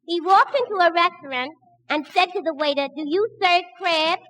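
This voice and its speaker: An actress playing a cheerful gag-cracking sidekick in 1940s radio comedy, chirpily delivering punchlines. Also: female voice, very high voice, normal speed, bright, forceful tone. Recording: noisy, reverberant vintage radio recording.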